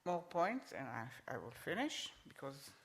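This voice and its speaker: A middle-aged man speaking on a conference panel, emphatic and repeating his points, with halting restarts. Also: on the high side, sing-song, fairly bright tone. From Israel.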